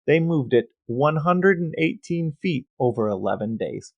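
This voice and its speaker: A man's voice: a little high, animated, measured pace, gravelly, a little mellow, no room sound, highs cut off. Dry close-sounding man, podcast co-host. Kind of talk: casual explanatory podcast talk.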